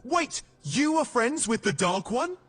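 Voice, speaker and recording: A man's voice: extremely high pitch, big pitch swings, a little slow, fairly bright tone, slightly rough, faint music behind, heavily acted. A man playing a wry, self-preserving guild assassin in a fantasy audio drama. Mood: urgent, bitter.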